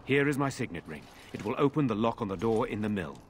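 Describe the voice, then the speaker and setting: An actor playing a dutiful, resolute nobleman in a video game, earnest, formal and measured. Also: on the low side, animated, slight background noise, lightly acted. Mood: grave, urgent. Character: resolute, dutiful nobleman, self-sacrificing brother. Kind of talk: dramatic video game dialogue.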